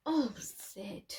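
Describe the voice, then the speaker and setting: A woman preschool teacher reading a story aloud, speaking expressively in simple phrases to small children. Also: high-pitched.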